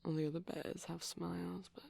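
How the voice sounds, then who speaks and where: A man speaking softly and breathily in an ASMR boyfriend roleplay as a shy, gentle vampire, hesitant and often slipping into whispers. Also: a little high, little pitch movement, normal speed, gravelly, breathy edge. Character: shy, gentle pastel vampire boyfriend showing off plush toys.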